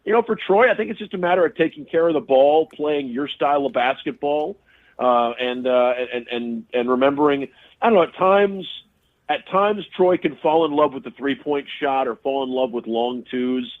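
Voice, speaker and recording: A man's voice: mid-range voice, normal speed. A male sports broadcaster calls in as a guest, his voice thin and narrow the way a phone line makes it. He talks in a middle register and picks teams apart analytically. He often stops and restarts his sentences. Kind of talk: casual sports talk interview.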